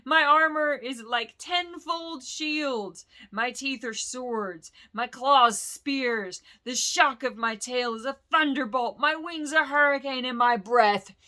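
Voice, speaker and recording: Old wily storybook dragon, male, booming and gloating, bright edge, read by a woman. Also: slow, few pauses, full character voice. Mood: boasting. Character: huge ancient boastful male dragon, wicked and wily.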